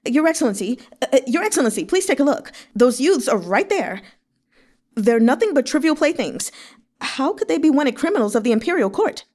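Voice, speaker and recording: Woman voicing a high, stammering, fawning nobleman. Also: sing-song, a little mellow. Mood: nervous pleading. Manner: stammering, pleading, hurried.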